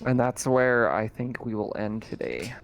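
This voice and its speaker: A man running a tabletop game as game master, relaxed and often laughing as he explains rules. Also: mid-range voice, a little slow, gravelly, slight background noise.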